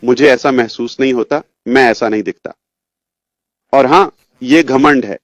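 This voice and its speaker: Male executive, fifties, medium pitch, slow informal motivational address to members, dry close sound. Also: no room sound. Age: 50s. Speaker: company chief executive giving an update. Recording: dull lo-fi audio, dry.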